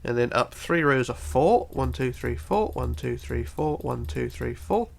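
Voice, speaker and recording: A man's voice: medium pitch, lively intonation, unhurried, vocal fry. A man with a bunged-up, congested voice giving a casual step-by-step building tutorial, counting aloud methodically. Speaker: tutorial host.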